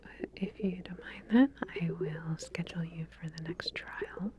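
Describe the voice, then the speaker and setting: Female ASMR performer, low-pitched soft whisper, playing an attentive, reassuring lab scientist in a one-to-one roleplay. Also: unhurried. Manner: gentle, reassuring, professional as a lab researcher. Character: calm, attentive female scientist running a medical experiment.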